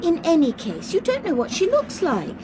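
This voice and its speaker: An actress playing a bossy, condescending vicar's wife in a radio drama. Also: female voice, very high voice, wildly swooping pitch, exaggerated voice. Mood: scolding. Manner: brisk, overbearing, condescending. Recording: clear train noise behind.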